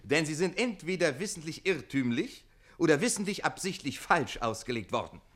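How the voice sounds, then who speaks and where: Young comic defendant, cocky, theatrical, indignant, swooping intonation, highish voice, radio play. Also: male voice, expressive, moderate pace, fairly bright tone. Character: cocky quick-witted young defendant claiming noble birth. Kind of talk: German radio play comedy acting.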